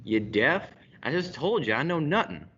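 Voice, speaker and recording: A man's voice: fairly high pitch, sing-song, normal speed, fairly bright tone. A teenage man playing a cocky, sneering mob boss in a radio play, with a slangy wise-guy delivery. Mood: indignant, rattled. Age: teens. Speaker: school radio drama actor. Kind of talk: gangster radio-play acting. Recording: dull audio with faint noise.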